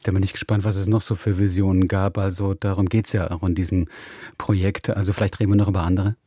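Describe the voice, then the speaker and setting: A man's voice: low-pitched, little pitch movement, fast, warm tone, husky, no room sound, clean studio sound. A man hosting a radio programme, putting questions to a reporter in conversation. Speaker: radio host.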